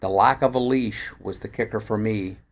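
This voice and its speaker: A man reading a first-person mystery story aloud in an expressive storytelling manner, like an audiobook narrator. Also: on the low side, normal speed. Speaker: actor reading a short story.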